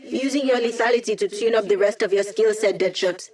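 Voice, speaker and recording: A woman plays a sly young hacker who teases her team with wry one-liners. Her voice sits at an ordinary middle pitch, and she keeps the banter light and knowing. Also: darkish tone, lightly acted. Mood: teasing. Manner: wry, teasing, quick quips. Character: witty young female hacker, playful and sly. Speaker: hacker support character. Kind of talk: video game voice lines.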